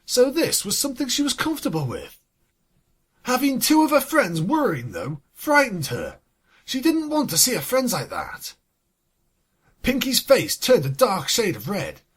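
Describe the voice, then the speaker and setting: Storyteller, husky voice, super animated, sarky and fed up, swears in the asides. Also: medium pitch. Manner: sardonic, exasperated, sweary. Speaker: fan fiction reader and commentator. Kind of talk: scripted story reading with sarcastic asides.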